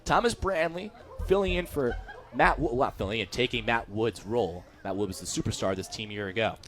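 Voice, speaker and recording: A man's voice: medium pitch, fairly quick. A young American man giving live color commentary and analysis between plays on a radio baseball broadcast. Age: young adult.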